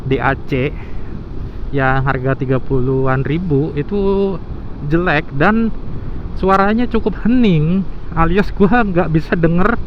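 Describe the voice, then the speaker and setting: A man's voice: on the high side, slow, long pauses. A man with speech, chatting casually and thinking aloud to viewers as a motovlogger, recorded on a helmet intercom microphone. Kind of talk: casual vlog chat to viewers. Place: outdoors, riding a motorbike. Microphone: intercom microphone inside helmet. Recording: dull helmet intercom mic, loud traffic noise.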